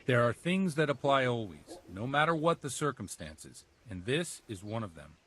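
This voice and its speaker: Solemn, unyielding village priest. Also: male voice, mid-range voice, sing-song, a bit bright. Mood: solemn, firm. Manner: measured, pious, patronizing. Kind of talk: video game cutscene acting.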